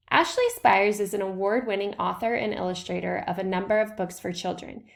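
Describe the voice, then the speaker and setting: Female storybook reader, unhurried and fairly slow, noticeable vocal fry, expressive emphasis for young listeners. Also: mid-range voice. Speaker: read-aloud reader. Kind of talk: children's picture book read-aloud.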